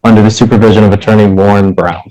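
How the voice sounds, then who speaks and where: A man's voice: lowish voice, fairly even intonation, racing, mellow, poor audio. A man speaking formally to a judge in court as a defense advocate. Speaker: defense advocate practicing under supervision.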